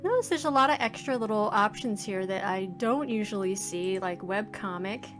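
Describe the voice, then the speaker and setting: A woman's voice: mid-range voice, lively intonation, moderate pace. A woman in her forties giving casual first-impressions game commentary, thinking aloud in hesitant, trailing phrases. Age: 40s. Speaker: let's play host, indie game developer. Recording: music playing behind the voice. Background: video game music.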